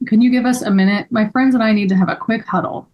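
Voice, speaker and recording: A woman plays a female amateur sleuth in a scripted read. Her voice is a little high, and she comes across as sensible and courteous. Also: fairly even intonation, fast, highs cut off, lightly acted. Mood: polite. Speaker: actor in a table read.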